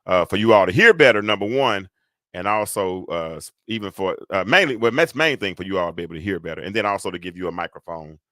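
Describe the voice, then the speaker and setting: A man hosts a casual live show, his voice very low and heavy. He rambles and restarts, leaning on fillers as he thinks aloud. The sound is close and dry, with no room around it. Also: animated, quick pace, fairly bright tone, dry recording. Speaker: host. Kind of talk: casual live-stream talk.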